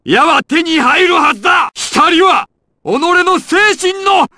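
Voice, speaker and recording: A man voicing a ruthless, imperious crime boss in a dramatic anime video game, theatrical, menacing and deliberate. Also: lively intonation, dry recording, clean studio sound, exaggerated voice. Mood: fierce, triumphant. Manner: theatrical, menacing, deliberate, swinging from low murmur to shouts. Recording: loud music over game voice clips. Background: loud game music.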